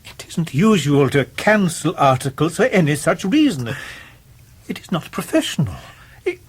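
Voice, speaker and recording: An actor playing a stiff, pompous, hesitant senior English lawyer in a period radio drama. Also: male voice, fairly high pitch, sing-song, moderate pace, slightly rough. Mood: flustered, formal.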